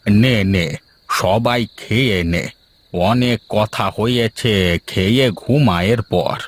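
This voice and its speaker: A man playing an aging, caring village father in an animated folktale, with a fatherly way of talking. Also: fairly low pitch, takes it slowly, a little hiss or hum. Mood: fond.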